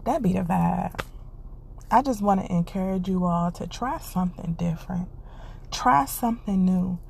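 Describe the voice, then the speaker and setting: A woman's voice: fairly low pitch, moderate pace, vocal fry, a little mellow, a little hiss or hum. A woman hosting a podcast, giving an earnest, encouraging casual monologue and repeating her phrases, congested and stuffy. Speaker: podcast host.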